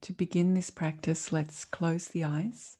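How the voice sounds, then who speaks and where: Calm lady doing guided meditation, low relaxed voice, soothing, lots of long pauses. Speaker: meditation teacher.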